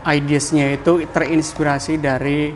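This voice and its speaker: A man's voice: a little high, little pitch movement, moderate pace, slight background noise. A man with a Javanese ngapak accent, a podcast guest explaining ideas in a casual chat, often ending points with "kayak gitu.". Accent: Javanese Banyumas (ngapak). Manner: explains with many "kayak gitu" tags, laughs easily. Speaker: podcast guest, communication mentor.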